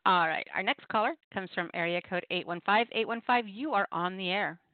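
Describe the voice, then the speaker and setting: A woman's voice: medium pitch, brisk, no room sound. A middle-aged woman hosting a call-in poetry radio show, chatting casually with playful, self-deprecating banter and storytelling. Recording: muffled, dry radio call-in line.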